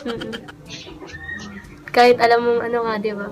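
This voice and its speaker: A woman's voice: a little high, flat delivery, moderate pace. A young woman with a Filipino accent chatting casually as a vlogger on a phone call. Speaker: vlogger making prank calls. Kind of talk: casual chat. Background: music.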